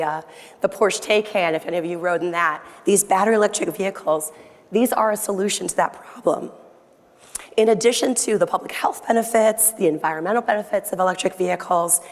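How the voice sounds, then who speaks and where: A woman speaking on a conference panel as a state environmental official, friendly and conversational, her voice a little hoarse from losing it. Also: on the low side. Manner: friendly, conversational presenter with rhetorical questions. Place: conference hall. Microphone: handheld microphone. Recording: long hall reverb.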